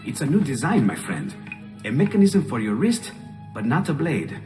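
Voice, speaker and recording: An eager Renaissance inventor with an Italian accent, his pitch swinging freely. He is cheerful and curious, a game character heard in a long reverberant space with music behind him. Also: wildly swooping pitch, moderate pace, mellow. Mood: fascinated. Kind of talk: dramatic video game acting.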